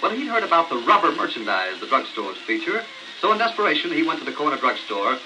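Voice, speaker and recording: Animated, theatrical male storyteller on crackly record. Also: on the high side, fast talker. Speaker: narrator. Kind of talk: scripted comic storytelling on a novelty record. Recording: noisy crackling 78 rpm record.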